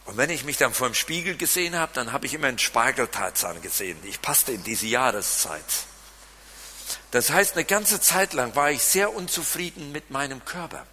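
A man with a Siegerland German accent preaching a sermon, swinging from loud emphasis to quiet asides. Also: medium pitch, expressive, moderate pace, a little hiss or hum. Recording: long reverb of a large hall.

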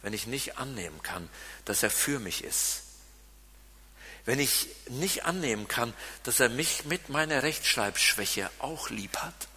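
A man with a Siegerland German accent preaching a sermon, swinging from loud emphasis to quiet asides. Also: mid-range voice, expressive, unhurried, husky. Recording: long reverb of a large hall.

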